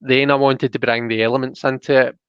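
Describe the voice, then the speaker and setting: A man's voice: medium pitch, flat delivery, a little fast, fairly bright tone, clean tone. A man hosting a casual live-stream, chatting in a tentative, apologetic way. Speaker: host of a live tarot reading show. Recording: muffled, roomy home live-stream sound.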